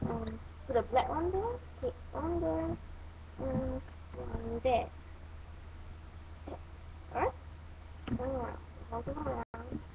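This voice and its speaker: A woman giving halting, step-by-step instructions in a hands-on toy building tutorial. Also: high voice. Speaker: toy review host. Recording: muffled phone-like audio, clear background noise.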